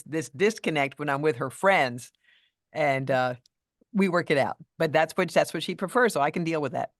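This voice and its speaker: A middle-aged woman voice coach with a deeper voice than many women, speaking in a measured, thoughtful way as a guest in a casual interview. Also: no room sound, studio quality. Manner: measured, thoughtful, with restarts and fillers.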